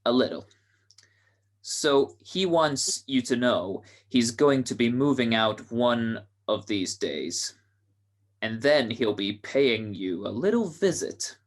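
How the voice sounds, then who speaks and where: A man's voice: mid-range voice, lively intonation, takes it slowly. A menacing henchman acted out by a man in a 1940s-style amateur radio drama. He takes his time over each line, with sardonic, casual threat. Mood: veiled menace.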